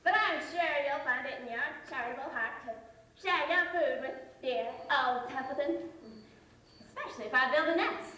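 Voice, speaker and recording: A woman's voice: high-pitched, moderate pace, bright, forceful tone, very echoey. A woman playing a sly, greedy male rat with a sneering, scheming manner.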